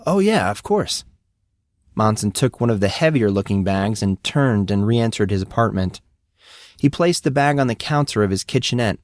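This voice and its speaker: A man's voice: lowish voice, no room sound, clean studio sound. A man reading scripted fiction as an audiobook narrator.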